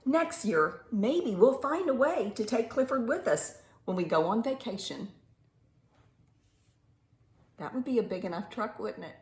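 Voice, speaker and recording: A woman reading a picture book aloud to young children in an animated, teacherly way, pausing to ask simple questions and stretching words for emphasis. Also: on the high side. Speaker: children's storytime reader and teacher. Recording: roomy echo with faint background noise.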